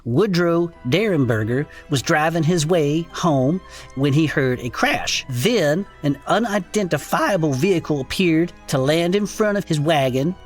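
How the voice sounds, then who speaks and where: A performer playing a folksy, excitable male podcaster in a comedic audio drama, reading strange reports aloud with incredulous asides. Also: medium pitch, measured pace, faint music behind, natural voice. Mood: amused, intrigued. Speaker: co-host reading case reports.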